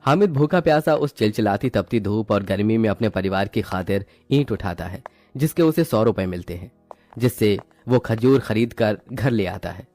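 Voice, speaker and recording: A man's voice: on the low side, animated, moderate pace, darkish tone, no echo, soft background music. A man reading scripted narration for a moral story.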